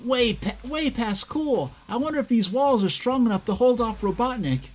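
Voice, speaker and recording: A man's voice: extremely high pitch, expressive, moderate pace, faint music behind, pitched above the performer's own voice. A man voicing an eager, childlike young male fox sidekick from a comic. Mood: excited.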